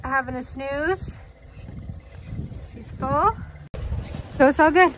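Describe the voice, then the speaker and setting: A woman's voice: high-pitched, takes it slowly. A woman in her thirties giving casual vlog narration outdoors on a farm, recorded on a phone held at arm's length. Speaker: dairy farm vlogger and mother. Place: outdoors on a snowy farmyard. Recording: clear outdoor wind and vehicle noise.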